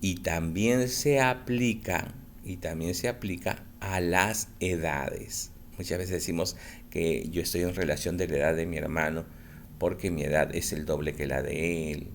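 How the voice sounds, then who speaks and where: A male school teacher giving a recorded math lesson for children, explaining slowly and patiently and answering his own questions. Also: low-pitched, slight background noise.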